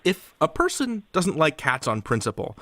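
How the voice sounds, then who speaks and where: A man hosting a podcast, speaking in clear scripted presenter style. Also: on the high side, wildly swooping pitch, normal speed, fairly bright tone, dry recording. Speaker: podcast host. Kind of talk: podcast interview and scripted sign-off.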